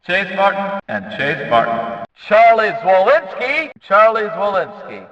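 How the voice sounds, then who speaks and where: An actor playing an excitable, booming race announcer in a video game, calling out names in a drawn-out, theatrical way. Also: male voice. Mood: hyped announcing. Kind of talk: shouted race-announcer name calls. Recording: echoey, distant, slightly dull PA-like sound.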